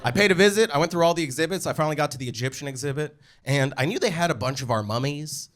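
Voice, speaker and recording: Higher-voiced Californian stand-up guy. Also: rapid-fire, a bit bright. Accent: Californian American. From United States, California. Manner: casual, profane, slangy, conversational. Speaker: stand-up comedian. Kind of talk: stand-up comedy storytelling to a live audience. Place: live comedy show with audience.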